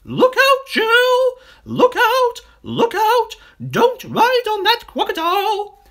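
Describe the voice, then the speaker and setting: Plump male storybook bird, very high, shrill, sing-song, excitable, urgent. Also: slow, full character voice. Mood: urgent warning. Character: excitable roly-poly male bird singing out warnings.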